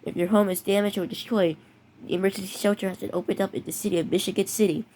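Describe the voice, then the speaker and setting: Young announcer for a homemade emergency alert scenario, reading halting warnings with many restarts, medium pitch. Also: female voice, fairly even intonation, warm tone, a little hiss or hum, rough recording. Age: teenager. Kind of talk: improvised fake emergency broadcast.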